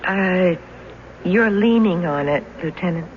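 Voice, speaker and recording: An actress playing a wry, affectionate, unhurried wife in a radio drama, dry and deliberate. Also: female voice, lowish voice. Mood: calm, amused. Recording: dull noisy vintage radio drama recording.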